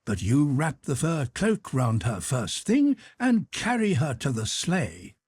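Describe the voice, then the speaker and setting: A man reading aloud voices a swaggering young rake who barks orders loudly. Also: medium pitch, big pitch swings, slow pace, slightly rough, no room sound, studio quality, exaggerated voice. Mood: commanding. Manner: loud, bossy, barking orders. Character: brash young male rake, swaggering and commanding.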